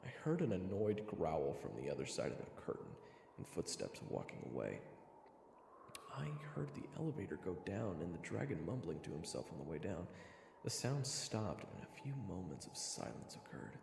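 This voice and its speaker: A low-voiced man narrates a fantasy audio roleplay with a creaky edge and expressive swings. He tells it in the first person, dryly commenting as he goes. His voice echoes over a loud music bed. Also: moderate pace, a little hiss or hum. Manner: dramatic storytelling with wry asides. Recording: reverberant hall effect, loud music bed.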